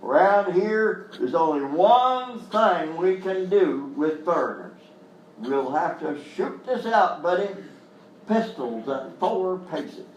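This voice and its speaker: A blustering Old West gunslinger, acted by a man for live radio-style comedy. He drawls in folksy Western American fashion, high and swinging in pitch. The mic is some way off. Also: animated, takes it slowly. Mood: blustering threat. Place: live performance in a hotel venue. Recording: roomy live stage, distant mic, faint noise.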